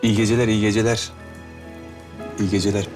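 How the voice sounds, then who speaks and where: An actor playing a gruff, reserved man in love in a television drama, speaking in a colloquial, halting, understated way. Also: fairly low pitch, little pitch movement, over music, close to an everyday voice. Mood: awkward, tender. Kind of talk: naturalistic TV drama acting.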